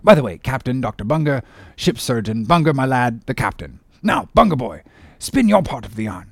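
A man's voice: mid-range voice, sing-song, normal speed, creaky, no echo, clean studio sound. A man voicing a hearty, jovial English whaling captain in a storybook, full of teasing bluster and exclamations. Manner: exclamatory, ribbing, boisterous.

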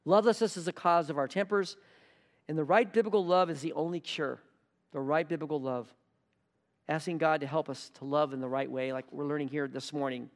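A man's voice: a little high, big-room sound. A man preaching a sermon as pastor, earnest, repeating key words for emphasis. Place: church hall.

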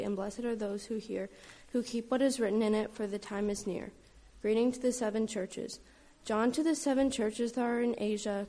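A woman reading scripture aloud in a church service, steady with a few small stumbles. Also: a little high. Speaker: scripture reader.

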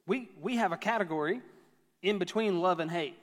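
A man's voice: high-pitched, fairly quick, long reverb tail. A man in his thirties preaching a sermon to a church, conversational and storytelling with self-deprecating humor. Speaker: pastor.

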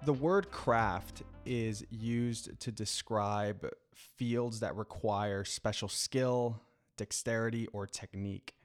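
A man's voice: on the low side, steady tone, takes it slowly. Enthusiastic male podcast host. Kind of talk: scripted podcast introduction and interview. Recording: music playing beneath the voice.

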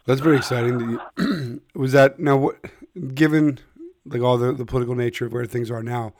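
A man's voice: mid-range voice, steady tone, a little fast, darkish tone, no echo, studio quality. A man hosting a podcast, asking casual questions and often restarting them. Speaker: podcast host.

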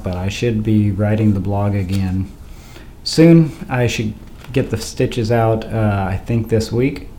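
A man's voice: on the low side, warm tone. A man hosting a faith podcast in a casual, hesitant monologue, sounding like he has a cold and is losing his voice. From Idaho, USA. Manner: hesitant, many uh fillers. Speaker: podcast host. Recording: faint wind noise behind.

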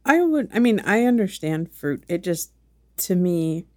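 A woman's voice: lowish voice, very animated, unhurried, mellow. A woman co-hosting a casual chat, asking teasing, wry questions. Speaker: podcast co-host.

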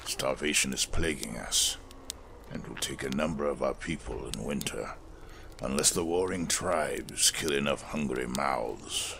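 A solemn, weathered smith of a fantasy audio drama, with an extremely low voice full of gravel. He speaks slowly and weightily, like a reflective former preacher who has survived hard years. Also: background noise, full character voice. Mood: troubled.

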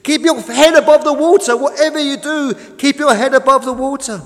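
A protective, no-nonsense storybook tugboat, played by a man, talking emphatically and plainly. The voice is pitched high with big rises and falls, in a long echoing reverb. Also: very animated, fairly quick. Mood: desperate. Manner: emphatic, colloquial. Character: protective, down-to-earth friendly tugboat.

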